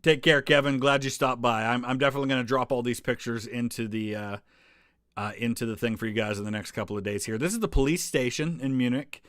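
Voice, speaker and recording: A man's voice: medium pitch, fast, dry recording. Rambling casual man on a dry mic. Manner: relaxed, rambling, many ums and restarts. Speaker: history channel host answering live chat. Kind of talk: casual live stream chat over travel photos.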